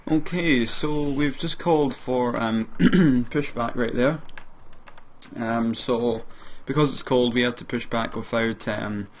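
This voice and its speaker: A man, a bit hoarse from a sore throat, chatting casually as he walks through each step of a flight simulator session. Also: mid-range voice, slow, slight background noise. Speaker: flight sim streamer. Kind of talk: casual gameplay commentary.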